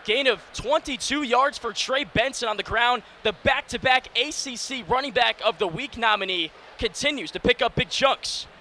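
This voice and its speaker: A man in his early twenties calling live football play-by-play on radio with energetic, clipped phrasing. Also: very high voice, moderate pace. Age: early 20s. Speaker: play-by-play announcer. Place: sports broadcast position inside a domed stadium. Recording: steady stadium noise behind. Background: stadium ambience.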